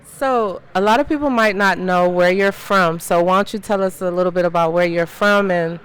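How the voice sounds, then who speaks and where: A woman's voice: on the low side, moderate pace, clean tone, low-quality recording. A woman hosting a podcast who asks questions and gives short encouraging replies. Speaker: podcast host. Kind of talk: casual interview chat.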